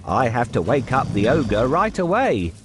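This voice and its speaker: A man voicing a clever, boastful talking cat in a theatrical, cocky way for a children's animated storybook. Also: mid-range voice, measured pace. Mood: scheming. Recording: music behind the voice. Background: music.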